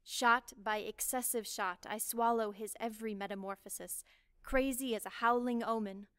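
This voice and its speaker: A woman recites a written poem in a deliberate, storytelling way. Her voice sits a little high. The recording is very dry, with no sense of room around her. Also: steady tone, a bit bright, clear, resonant tone, no echo. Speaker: poetry reader. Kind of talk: scripted poetry recitation.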